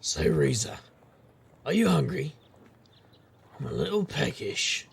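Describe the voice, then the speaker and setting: An actor playing a hard-drinking, roguish private investigator in a noir audio drama, gruff and husky, with wry, world-weary wisecracks. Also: male voice, a little high, very animated, slow, exaggerated voice. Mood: roguish enthusiasm. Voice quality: gravelly, husky.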